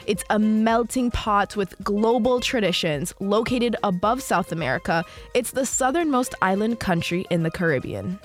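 Trinidadian woman presenter, mid pitch, formal scripted host, faint music bed. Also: animated, normal speed. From Trinidad and Tobago. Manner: polished, formal presenter delivery. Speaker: interview host. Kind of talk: scripted introduction and interview questions.

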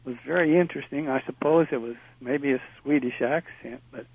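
An older man calling in to a radio show, talking haltingly with many fillers. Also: medium pitch, background noise. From Indiana. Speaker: caller. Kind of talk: call-in radio chat. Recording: phone line.

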